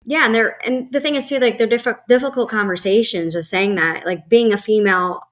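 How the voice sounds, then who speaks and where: A woman's voice: a little high, fairly quick, fairly bright tone. A woman hosting a podcast, talking casually with lots of fillers and asides. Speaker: podcast host. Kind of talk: casual conversation.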